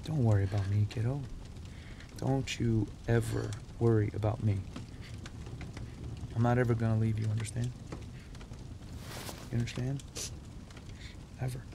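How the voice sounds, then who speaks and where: A man playing a gruff, protective middle-aged detective father who is tender with his daughter, in an audio drama. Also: medium pitch, fairly even intonation, a little slow, long pauses, background noise. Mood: tender, consoling.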